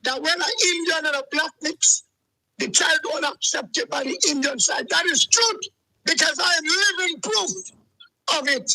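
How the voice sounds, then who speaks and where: A man's voice: very high-pitched, moderate pace, vocal fry, sharp tone, no room sound, rough recording. A man, calling in to a show and ranting heatedly, repeating himself. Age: 40s. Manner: agitated, repetitive, keeps saying "let me tell you something". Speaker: caller. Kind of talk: heated call-in rant.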